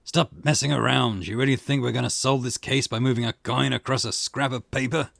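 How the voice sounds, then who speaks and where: A man voicing a gruff, weary chief police inspector in a visual novel, dismissive and sceptical. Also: medium pitch, fairly even intonation, brisk, fairly bright tone, no echo, clean studio sound. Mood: dismissive, irritated.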